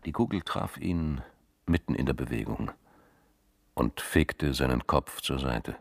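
A man narrating a radio crime drama in a measured, literary storytelling manner. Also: very deep voice, lively intonation, creaky, slightly rough, no echo, studio quality.

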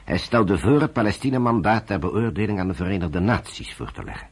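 An actor as the grave storyteller of a historical radio drama, narrating in a solemn, expressive way. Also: male voice, on the low side, fairly quick, breathy edge.

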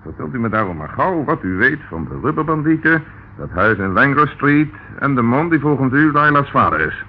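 An actor playing a calm, dryly ironic male police inspector in a radio drama. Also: medium pitch, normal speed. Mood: patient, ironic. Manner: dry, composed, ironic. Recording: tinny, noisy old radio recording.

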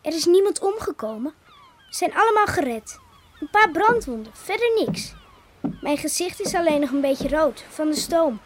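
A young wife from a fishing village in a radio play. She speaks gently and reassuringly in a high, light voice, with a faint hiss under the recording. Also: very animated, normal speed. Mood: calm. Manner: gentle, reassuring, hesitant at first. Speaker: daughter breaking bad news.

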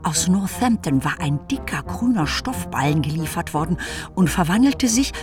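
Wry lady storyteller, very lively intonation, music behind, literary radio play. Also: lowish voice, normal speed. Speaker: narrator. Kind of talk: scripted literary narration in a radio drama.

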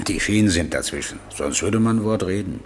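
An actor playing a chatty railway crossing keeper with a folksy storytelling manner in a German radio crime drama. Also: male voice, low voice, animated, normal speed, slight background noise. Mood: chatty, amiable.

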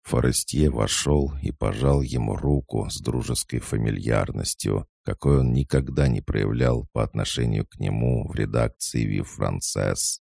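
A male audiobook narrator reading a classic novel in a measured, even way. His voice sits extremely low, heavy with gravel and dark in tone. The recording is dry and close to the mic. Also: dry recording.

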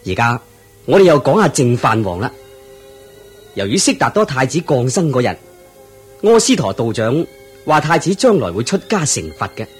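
A man's voice: medium pitch, very animated, normal speed, long pauses, breathy edge, soft background music. A man reading scripted narration for a radio drama.

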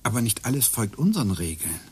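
An actor playing Graham, a man in a German radio play who muses haltingly about time and particles. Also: fairly low pitch, very animated, darkish tone, slightly rough, no echo, heavily acted. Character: brooding man lost in theories of time.

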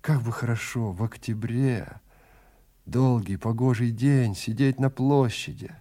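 A man voicing an anxious, haunted wife in flight in an audio drama, in a hushed, brooding manner. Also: mid-range voice, steady tone, a little slow, lightly acted. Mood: wistful.

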